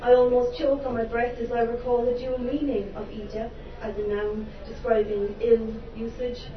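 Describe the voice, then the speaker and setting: A woman's voice: fairly high pitch, level tone, moderate pace. Irish woman doing spoken word poems on stage, dramatic but funny, kinda far off in an echoey room. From Ireland. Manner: impassioned, theatrical delivery with wry humorous asides. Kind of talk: live spoken word poetry performance. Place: live venue before an audience. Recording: roomy, distant, dull live recording with background noise.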